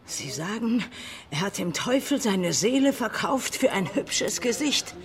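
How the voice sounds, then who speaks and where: A woman's voice: medium pitch, very animated, a little slow. An actress playing a bitter, desperate dockside woman who swings between mocking jeers and pleading in a radio play. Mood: insistent.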